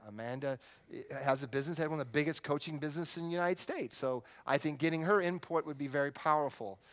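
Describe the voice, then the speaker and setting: Guy hosting a live seminar, slightly high voice, talking informally from the stage. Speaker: event host introducing speakers on stage. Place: stage at a filmed live event.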